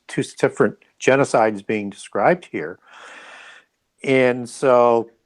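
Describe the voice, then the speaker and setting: A man giving his take as a guest in an interview. His voice sits at a middle pitch. He talks conversationally, thinking aloud as he explains and often checking in with the listener.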